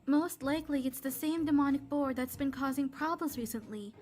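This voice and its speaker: Woman playing a very high-pitched, earnest young maiden. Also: brisk, a little mellow, soft background music, natural voice. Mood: earnest, thoughtful. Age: young adult. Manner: polite, earnest. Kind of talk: comic dub voice acting.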